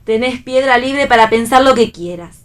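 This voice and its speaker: Woman as defiant Argentine daughter, highish voice. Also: normal speed, close to an everyday voice. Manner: curt, sarcastic. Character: defiant, sarcastic young adult daughter. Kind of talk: radio play reading.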